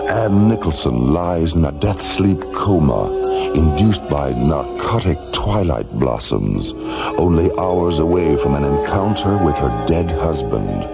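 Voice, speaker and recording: A man with a gravelly, extremely deep voice narrates a radio drama. His delivery is grave and unhurried, dramatic and scripted, carried over loud music. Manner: grave, portentous.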